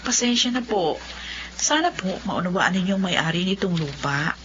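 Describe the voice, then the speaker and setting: A woman's voice: lowish voice, expressive, normal speed, fairly bright tone. A woman playing a polite but firm Filipino lawyer in a radio drama, formal and apologetic. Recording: dull lo-fi audio with background noise.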